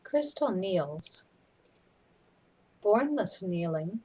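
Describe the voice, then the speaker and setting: A woman reads stage directions in a husky, mid-pitched voice. Her scripted narration is very slow, delivered in short phrases. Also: very animated, darkish tone.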